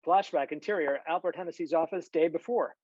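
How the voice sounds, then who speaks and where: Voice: medium pitch, brisk, a little mellow, slightly rough, no echo. A narrator reading screenplay stage directions in a scripted style over a video call. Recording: slightly dull video call audio.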